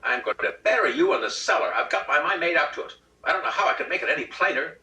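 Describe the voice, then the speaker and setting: A man's voice: a little high, animated, fast talker, pushed and bright, pitched above the performer's own voice. A man playing a peevish, childishly insistent husband in a comic short-story reading, pleading and exasperated with heavy emphasis. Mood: stubborn, heated. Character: peevish, childishly insistent middle-class husband plotting murder. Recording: roomy, distant rehearsal recording.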